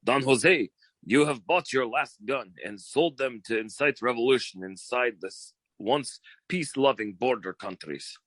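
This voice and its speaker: A man's voice: mid-range voice, unhurried, fairly bright tone, no echo. A man voicing hammy, melodramatic foreign characters in a theatrical 1940s style radio comedy act. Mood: grave melodrama. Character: dramatic theatrical foreign characters put on by showoff detective.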